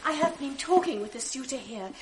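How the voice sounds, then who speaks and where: An actress playing a loving young noble wife in a 1980s radio drama, gentle and earnest, coaxing insistently. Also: female voice, sits high, animated, brisk, a little hiss or hum.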